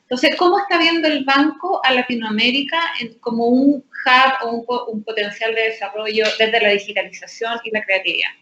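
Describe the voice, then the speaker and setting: A woman's voice: a little high, brisk, hardly any pauses, sharp tone, roomy sound, highs cut off, slight background noise. A woman from Chile, moderating an event and asking long, winding questions. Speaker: interviewer, event host. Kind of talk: moderating a panel interview.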